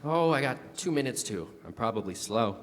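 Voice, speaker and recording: A young actor as suburban husband in an echoey hall. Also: male voice, mid-range voice, expressive, normal speed, close to an everyday voice. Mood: breezy, affable. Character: suburban husband and father, breezy turning bewildered and demanding. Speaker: actor in a live stage radio play. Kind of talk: classic radio drama acting. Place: on stage in a school hall. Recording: echoey stage hall, music behind.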